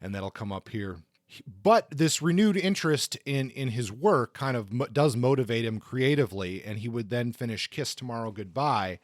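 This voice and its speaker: A man of medium pitch co-hosts a literary podcast. He works through biographical notes in a conversational, informal way. The sound is dry, with very little room around the voice. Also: animated, moderate pace, no room sound. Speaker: podcast co-host. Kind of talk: semi-scripted literary biography talk.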